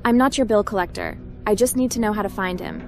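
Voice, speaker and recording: A woman's voice: medium pitch, wildly swooping pitch, fast talker. A woman as a direct, businesslike game heroine. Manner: direct, matter-of-fact. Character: determined, businesslike young female hacker posing as officer. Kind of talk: scripted roleplay game dialogue. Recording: music behind the voice.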